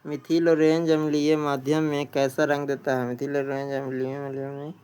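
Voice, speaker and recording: A man's voice: fairly high pitch, little pitch movement, moderate pace, a little mellow, smooth. A man teaching an exam practice lesson, reading out questions and answers and often repeating a question before answering.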